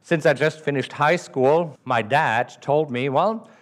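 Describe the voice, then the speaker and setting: A man from Germany with a German accent, giving a storytelling talk at a live event, animated and asking the audience questions. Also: on the high side, unhurried. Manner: animated, asks the audience questions, acts out the dialogue. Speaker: trading educator.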